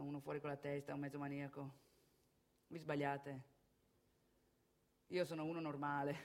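A woman's voice: medium pitch, natural voice. A woman playing a brash, bitter, boastful womanising divorced salesman in a theatrical monologue, with colloquial, crude, volatile delivery and rhetorical questions. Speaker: stage monologue performer. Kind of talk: theatrical monologue addressed to audience.

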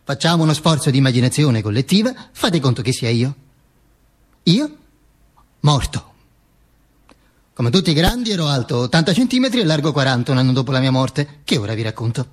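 A man's voice: mid-range voice, lively intonation, husky. An actor playing a vain, grandiose dead dictator who narrates his own afterlife in a pompous theatrical monologue with asides to the audience. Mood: mock solemn, boastful. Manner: pompous, self-aggrandizing, halting asides to the audience.

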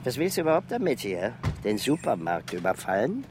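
An actor playing a dry, teasing, deadpan police detective in a German radio crime drama. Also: male voice, medium pitch, brisk, noisy background, close to an everyday voice.

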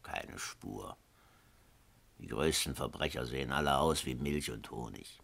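A man acting in a German radio play as a self-satisfied, hard-hearted government minister, pompous and dismissive, with a gravelly, husky voice. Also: extremely low pitch, a little slow, a bit bright, full character voice. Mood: smug.

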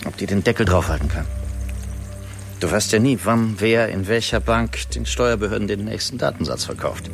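Low rough-voiced smug money guy bragging to his buddies. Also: expressive, normal speed, faint music behind. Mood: boastful. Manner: bragging, conspiratorial. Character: boastful male financier, cynical and gleeful. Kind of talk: radio play acting.

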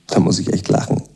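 An actor playing a self-satisfied young Soviet rock musician in a radio drama, talking in blunt, offhand slang with a gravelly, husky voice. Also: male voice, dark tone, close to an everyday voice. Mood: cocky, satisfied. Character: self-satisfied long-haired Soviet rock musician, laconic rebel son. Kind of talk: radio drama monologue, colloquial.

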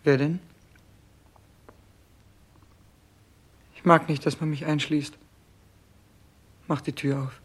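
An actor playing a dying, bewildered, self-absorbed king in a 1960s German radio play, declaiming in a halting, incantatory way. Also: on the high side, little pitch movement, slightly rough. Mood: querulous, lost.

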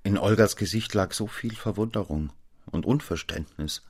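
A man's voice: fairly low pitch, moderate pace, a little mellow, slightly rough. An actor with speech playing an impulsive, amorous man who narrates his own love affair in a literary radio play. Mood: reflective narration.

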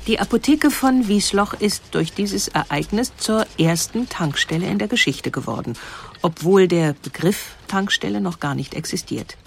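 A woman narrator reading a scripted documentary story in a measured, explanatory way. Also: medium pitch, animated, gravelly, slight background noise.

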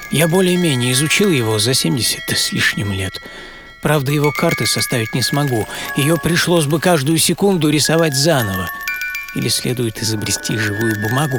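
An actor playing an eccentric, dreamy architect in his seventies in a theatrical radio monologue full of pauses. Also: medium pitch, natural voice. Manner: theatrical, pausing, swinging from hushed to emphatic. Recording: studio voice over prominent music.

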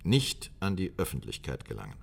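A man's voice: sits low, huge pitch swings, a little fast, creaky, exaggerated voice. A man playing a commanding, evasive state premier in a German radio drama, speaking formally with pressing authority. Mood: wary, insistent.

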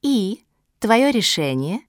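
Mocking female squirrel acted by a woman, storybook character, cynical, sharp-tongued, interrogating, dry sound. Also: mid-range voice, sing-song, slow pace, no room sound, voice pushed up. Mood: triumphant. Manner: insinuating, triumphant, interrogating.

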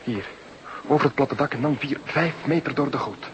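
An actor playing a panicking burglar on the run in a radio drama, cursing and muttering tensely to himself. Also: male voice, medium pitch, moderate pace, dull audio, close to an everyday voice. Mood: panicked. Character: panicking male burglar fleeing over rooftops. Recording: noisy, long hall reverb.

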